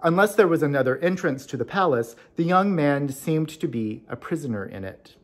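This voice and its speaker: A man's voice: fairly high pitch, expressive, normal speed. Guy doing a storybook read-aloud, introducing it and narrating. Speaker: club officer introducing and reading a story.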